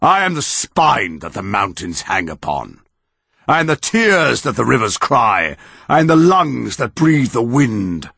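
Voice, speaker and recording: A man voicing an ancient, towering tree monster that speaks in a slow, booming, declaiming way with a gravelly edge. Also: big pitch swings, pushed and bright, no echo, full character voice. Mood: roaring.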